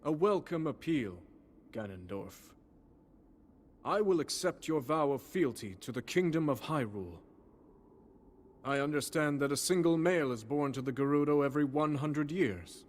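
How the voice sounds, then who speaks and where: An actor voicing a calm, wise king in a game cutscene, speaking in a measured, regal way. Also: on the high side, a little hiss or hum, natural voice. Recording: game cutscene over music.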